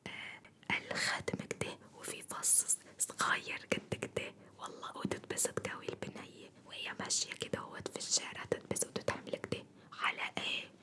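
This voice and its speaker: A woman plays a homesick beautician with an Upper Egyptian accent, speaking entirely in a breathy whisper. She is effusive and repetitive, piling up homely endearments and grumbles about city people in a one-sided ASMR salon roleplay. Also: lightly acted. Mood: indignant, disdainful. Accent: Upper Egyptian (Sa'idi) Arabic.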